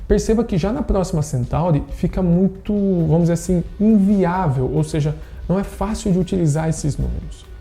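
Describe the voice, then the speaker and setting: A man in his thirties with a Brazilian Portuguese accent, teaching a science lesson online and explaining step by step, often checking in with quick tag questions. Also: high-pitched, gravelly, warm tone, soft background music. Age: 30s. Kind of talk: online class lecture.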